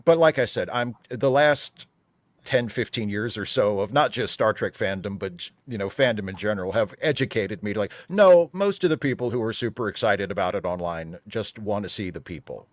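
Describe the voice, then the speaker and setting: A man's voice: on the low side, lively intonation, normal speed, dry recording. Wry, skeptical man, dry close sound. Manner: wry, skeptical, qualifies his points. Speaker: panel guest commentator. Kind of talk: casual opinionated discussion.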